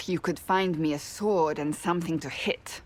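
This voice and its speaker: A woman's voice: sits low, measured pace. A woman playing a dignified, steadfast warrior priestess in a video game, formal and earnest. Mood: resolute, loyal. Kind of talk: dramatic video game dialogue.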